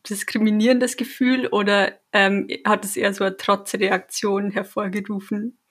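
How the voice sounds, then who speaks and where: A woman's voice: medium pitch, little pitch movement, normal speed, breathy edge. A woman with a Bavarian accent in a casual conversation, asking curious follow-up questions. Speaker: interviewer.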